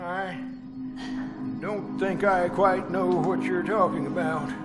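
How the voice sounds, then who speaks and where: A man's voice: high voice, fairly even intonation, slow. A man playing a smarmy, shifty employer in an old-time radio drama, fussily polite, hesitant and prone to chuckle. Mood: guarded, suspicious. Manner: hesitant, chuckling, over-polite, flustered delivery. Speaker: amateur radio troupe actor. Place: live performance at a winery. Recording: live stage performance with music.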